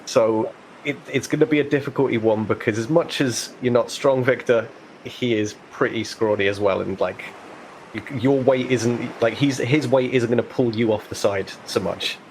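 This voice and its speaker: A man as game master, explaining rules and narrating conversationally, pausing to think aloud. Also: medium pitch, a little mellow, slight background noise, low-quality recording.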